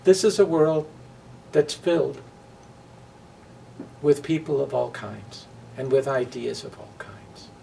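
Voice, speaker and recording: A man speaking earnestly and deliberately to viewers as a storybook reader, with emphatic phrasing. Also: medium pitch, expressive, gravelly, dark tone. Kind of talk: read-aloud storytime and personal address to viewers. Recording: distant mic with faint background noise.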